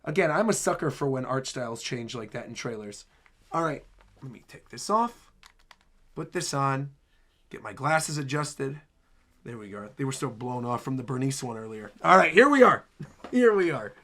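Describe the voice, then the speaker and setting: A man hosting a reaction video, giving casual, excitable, exclaiming commentary on game trailers. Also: medium pitch, moderate pace.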